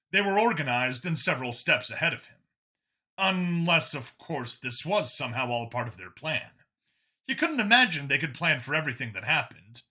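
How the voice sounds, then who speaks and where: A man's voice: fairly high pitch, sharp tone. A man reading a science fiction story aloud as a dramatic scripted narration. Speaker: narrator, audiobook reader.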